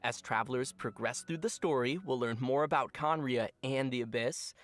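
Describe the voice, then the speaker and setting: A man's voice: medium pitch, normal speed, soft background music. A man co-hosting a game preview show, delivering scripted updates with some light banter.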